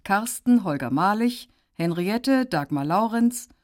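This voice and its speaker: A woman's voice: medium pitch, lively intonation, slow, no echo, clean studio sound. A woman reading radio drama credits as an announcer, in a formal, scripted style.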